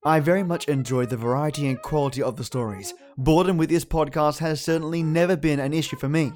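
A podcast host, a man with a slightly high voice, reads his introduction with theatrical relish. He savours his phrases as music plays behind him. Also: quick pace, a little mellow.

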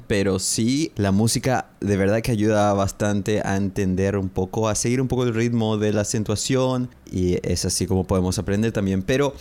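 A man, hosting a podcast English lesson and repeating phrases slowly and carefully for learners. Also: fairly low pitch, a little mellow. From Paraguay. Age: 30s. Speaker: English teacher, podcast host.

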